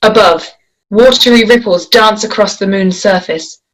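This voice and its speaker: A woman's voice: mid-range voice, fairly bright tone, poor audio. Woman reading a screenplay. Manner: measured, descriptive reading. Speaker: script reader. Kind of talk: screenplay table read.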